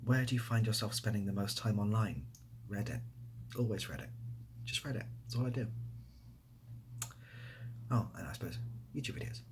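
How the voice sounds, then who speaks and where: A young man with an English accent chatting softly in an ASMR style, hesitant and rambling, often dropping to a near-whisper. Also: fairly low pitch, moderate pace, long pauses, noisy background. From near Bath, England. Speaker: ASMR video creator. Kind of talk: softly spoken ASMR casual chat answering questions.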